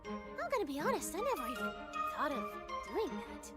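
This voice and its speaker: A woman voicing an excitable young girl game master in a video game, theatrical, slangy and playful. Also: high voice, normal speed, over loud music. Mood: candid. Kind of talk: animated video game character acting.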